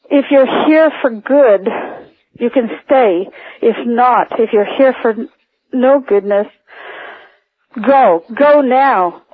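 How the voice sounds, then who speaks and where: An older female caller with a fairly high voice tells personal stories, halting as she goes. She comes over a narrow phone line, dry and close with no room sound. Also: no echo. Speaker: radio show caller.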